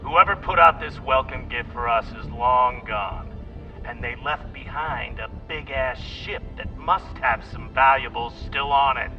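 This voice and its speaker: An actor playing a brusque, commanding captain of a scavenger spaceship crew in a video game, gruff and profane. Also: male voice, medium pitch, measured pace, distant mic, muffled recording, soft background music, close to an everyday voice. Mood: commanding, impatient. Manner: gruff, profane, barking orders. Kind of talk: video game character acting.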